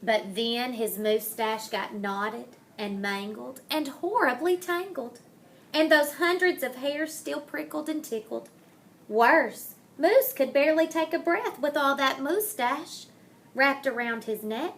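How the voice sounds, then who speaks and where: Woman, high voice, slow measured pace, expressive picture-book narration, dramatic, relishes wordplay. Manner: dramatic, deliberate, savors rhymes and wordplay. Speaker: storybook reader. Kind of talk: expressive children's picture-book read-aloud.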